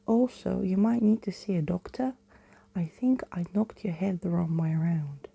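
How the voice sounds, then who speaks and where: A woman voicing a cheeky gap-toothed street-thief boy in a soft, whispery children's storybook reading. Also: on the low side, moderate pace, vocal fry, husky.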